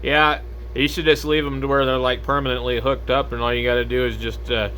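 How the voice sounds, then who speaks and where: A man's voice: medium pitch, a little fast, poor audio. An American man chatting casually as a ham radio operator, in a rambling, hesitant way. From United States. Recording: steady background radio noise.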